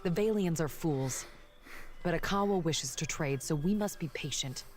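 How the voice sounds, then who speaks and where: A woman's voice: sits low, moderate pace, darkish tone, slight background noise, natural voice. A woman voicing a stern proud island warrior. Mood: bitter. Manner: stern, indignant, formal speech. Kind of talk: video game voice acting.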